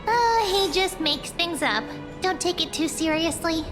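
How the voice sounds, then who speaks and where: A woman's voice: very high voice, expressive, a little slow. A woman voicing a small, cheerful fairy-like girl companion in a video game, light and chatty. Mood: breezy, reassuring. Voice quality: childlike, light. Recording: long reverb, music underneath.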